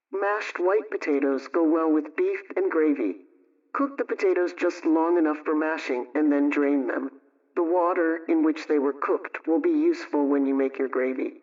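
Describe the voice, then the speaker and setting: A man's voice: on the high side, darkish tone. A man presenting a homemaking radio program as scripted narration. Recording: distant, dull lo-fi radio sound.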